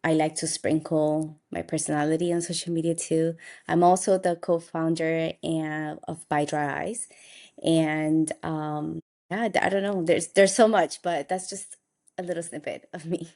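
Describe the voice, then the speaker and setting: A woman's voice: low-pitched, level tone, measured pace, darkish tone. A young woman from Colombia, an optometrist telling her story in a casual interview and laughing now and then. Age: young adult. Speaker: optometrist guest.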